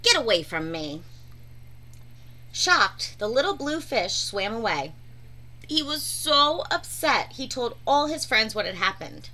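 Bright, lively young woman reading aloud. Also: a little high, a little slow, poor audio. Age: young adult. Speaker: storybook reader. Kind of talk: expressive children's read-aloud. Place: small room at home, indoors.

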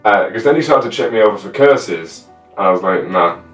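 A young man with a low voice recounts things casually and haltingly. He sits a little away from the microphone in a roomy space. Faint music plays underneath. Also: lively intonation, recorded from a distance. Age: 20s. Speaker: guest, fellow live roleplayer. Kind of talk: casual chat, recounting a scene. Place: small room at home with costumes.

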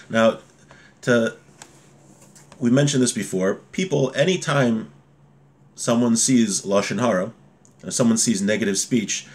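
A man around forty giving an informal religious lesson in a conversational way, reading Hebrew phrases and explaining them with everyday examples, recorded at a desk in a home room. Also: fairly low pitch. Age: 30s to 40s. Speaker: religious teacher. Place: small home room, indoors. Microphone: webcam or device mic at desk distance.

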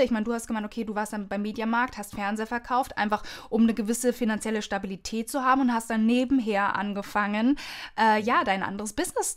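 Higher-pitched woman hosting a conversational podcast. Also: a bit bright. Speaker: podcast host, interviewer.

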